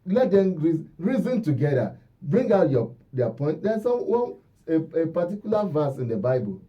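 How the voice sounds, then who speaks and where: A man's voice: a little high, big pitch swings, normal speed, distant mic. A Nigerian man speaking as a guest commentator in a radio discussion, emphatic and repeating phrases for stress. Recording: dull, lo-fi radio audio.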